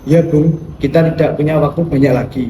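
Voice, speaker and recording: A man's voice: on the high side, steady tone, fairly quick, natural voice. A man acting an earnest, insistent young Indonesian independence activist in formal historical dialogue. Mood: urgent. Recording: echoey room, distant mic, faint noise.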